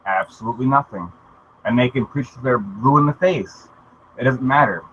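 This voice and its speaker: A man's voice: medium pitch, normal speed, fairly bright tone. A man giving blunt, emphatic opinions in a casual group discussion. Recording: muffled lo-fi mic with faint hiss.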